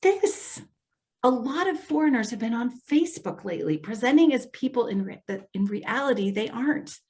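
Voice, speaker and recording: A woman's voice: on the high side, expressive, normal speed, a little mellow, close to an everyday voice. A woman acting an earnest, protective social worker in readers theater, speaking carefully and insistently. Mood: cautioning. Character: earnest, protective female elder-care social worker, cautious.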